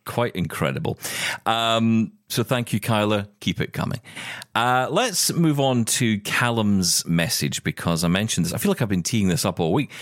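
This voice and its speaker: A man's voice: fairly low pitch, expressive, normal speed, no echo, clean studio sound. A man hosting a show in casual chat, with a jokey, teasing manner. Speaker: show host.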